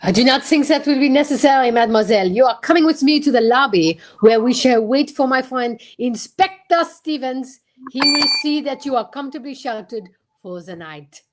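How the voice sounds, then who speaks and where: A woman playing a pompous, courteous male detective, formal and deliberate, in old-time radio mystery style. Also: high voice, lively intonation, heavy background noise. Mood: reassuring, self-assured. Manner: formal, deliberate, theatrical questioning.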